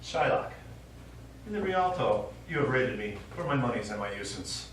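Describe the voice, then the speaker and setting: A man's voice: medium pitch, very animated, normal speed, natural voice. In an echoey theatre, heard from far off, a man plays a stubborn old widower on stage. He is a veteran and retired teacher, given to reminiscing and reciting. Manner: reminiscing, quotes speeches and verse. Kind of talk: live stage play acting. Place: small indoor theatre stage. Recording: echoey theatre, distant mic, faint noise.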